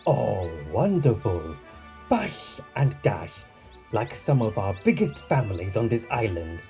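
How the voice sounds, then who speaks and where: A man's voice: fairly low pitch, very animated, measured pace, creaky, warm tone, soft background music, heavily acted. A man playing a kindly island boss in an audio drama, courteous, encouraging and a little formal. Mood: pleased, welcoming. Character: kindly male island boss reassuring young workers. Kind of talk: scripted audio drama dialogue.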